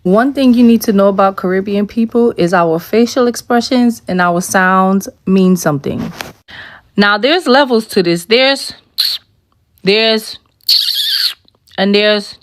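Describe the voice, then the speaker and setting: A woman's voice: mid-range voice, unhurried, pauses often, slight background noise. A woman playfully explaining expressions and sounds.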